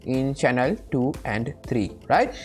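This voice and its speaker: Low-pitched woman giving a software tutorial. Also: expressive, slow pace, faint music behind. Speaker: tutorial presenter. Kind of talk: casual step-by-step software tutorial.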